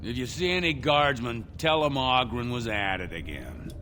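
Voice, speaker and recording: A disapproving dwarf gossip from a fantasy video game. His mid-pitched voice rises and falls as he explains things indignantly, with a faint rumble behind. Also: moderate pace. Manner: expressive, indignant storytelling.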